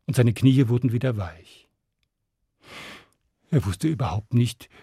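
A man telling a fairy tale to children in an expressive, dramatic storyteller's manner with deliberate pauses. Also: a little high, vocal fry, slightly rough, no echo, clean studio sound.

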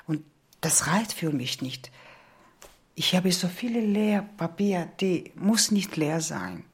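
A woman's voice: fairly low pitch, big pitch swings, slow pace, husky. A middle-aged woman from Georgia with a Georgian accent speaks reflectively about herself and tells a story in simple phrases. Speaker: interviewee. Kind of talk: reflective personal narration. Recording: music behind the voice. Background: music.

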